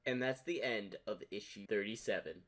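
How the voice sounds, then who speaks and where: A man's voice: lowish voice, moderate pace, over loud music. A man hosting a reaction video, talking casually and speculatively, stretching words for emphasis.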